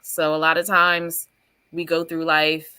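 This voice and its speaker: A woman teacher appearing as a guest, speaking in a warm, encouraging way about faith. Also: low voice, monotone, measured pace, smooth, dry recording. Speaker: guest, first-grade teacher. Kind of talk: casual chat.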